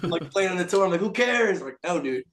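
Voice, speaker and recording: A raspy-voiced man from a band, joking and swearing in casual podcast chat, often acting out what people said. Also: high voice, lively intonation, fast talker, fairly bright tone. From Philadelphia area. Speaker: band member guest.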